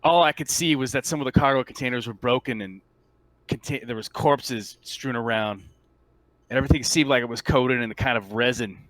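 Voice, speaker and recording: A man playing a shaken marine soldier in a tabletop roleplay, giving a halting, stammering account of a disastrous mission. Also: mid-range voice, fairly bright tone, no echo, lightly acted, rough recording. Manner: halting, stammering, incredulous testimony. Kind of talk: improvised tabletop roleplay monologue.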